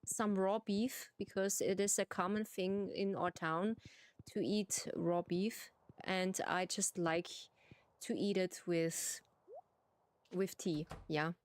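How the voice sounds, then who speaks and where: Slow, playful German woman streamer. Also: medium pitch, fairly even intonation. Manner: playful exclamations, simple halting English. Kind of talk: casual chat while streaming a farming game.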